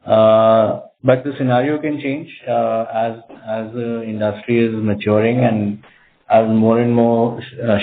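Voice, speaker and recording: A company executive, a man, answering on an earnings call in a measured, explanatory, hesitant way over a tinny phone line. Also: on the low side. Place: conference call. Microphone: telephone.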